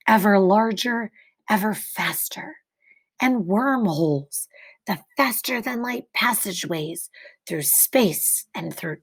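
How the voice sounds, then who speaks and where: Slow, high-voiced woman reading to children. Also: slightly rough. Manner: deliberate, expressive phrasing with dramatic pauses. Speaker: teacher reading aloud. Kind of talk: children's picture book read-aloud.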